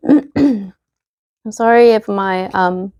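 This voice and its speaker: Casual tarot reader, young woman, mellow slightly hoarse voice, dry close sound. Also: medium pitch, very slow, dry recording, muffled recording. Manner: chatty, many fillers, reads card messages aloud. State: losing her voice, slightly hoarse. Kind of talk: casual tarot reading to viewers.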